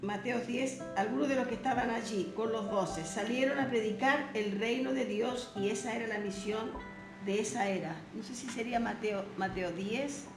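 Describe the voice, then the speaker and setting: A woman teaches a Bible seminar in a slightly high voice, reading verses and pressing the listener with rhetorical questions. Her first language is Portuguese. The room is echoey and music plays clearly behind her. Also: normal speed. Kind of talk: recorded Bible seminar lesson.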